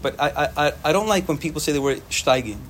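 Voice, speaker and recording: A young man whose first language is Yiddish, giving an informal, animated Torah talk to students and repeating phrases for emphasis. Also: on the high side, quick pace, slight background noise. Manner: animated, repetitive, sprinkles Hebrew and Yiddish terms.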